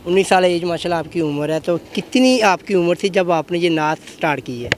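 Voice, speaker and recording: High-voiced male interviewer outdoors. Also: expressive, fairly quick. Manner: effusive, repeats answers back. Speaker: host interviewer. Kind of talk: street interview for a web show. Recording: outdoor street noise with traffic.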